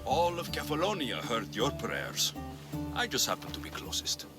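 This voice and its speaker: An actor playing a tough, sardonic young mercenary hero in a video game, speaking in a gruff, dry, blunt manner. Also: male voice, mid-range voice, huge pitch swings, normal speed. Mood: curious, dry. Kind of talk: video game cutscene acting. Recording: music behind the dialogue.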